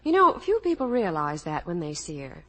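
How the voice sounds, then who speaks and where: Animated woman, vintage radio promo style. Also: on the low side, moderate pace. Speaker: Hollywood reporter. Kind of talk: scripted 1950s radio promotional chat.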